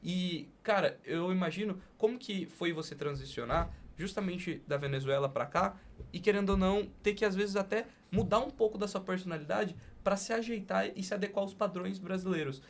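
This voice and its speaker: A man's voice, pitched a little high, with a Brazilian accent. He talks as a podcast host in relaxed, slangy conversation, commenting and putting questions to guests. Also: a little fast. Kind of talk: casual interview chat with slang.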